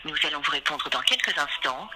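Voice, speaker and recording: A man's voice: a little high, expressive, brisk, very noisy. A man reading a scripted, formal on-hold announcement for callers over a telephone line.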